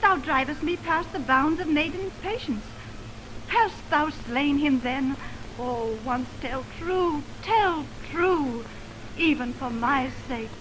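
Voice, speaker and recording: A noisy 1929 radio recording carries a high female voice. a fierce young heroine in love, measured and theatrical, delivering Shakespearean verse in the grand radio style of the twenties. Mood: angry accusing. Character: Hermia, distraught young woman in love, fiercely accusing. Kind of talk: 1920s radio Shakespeare acting. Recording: noisy 1929 radio aircheck from optical film.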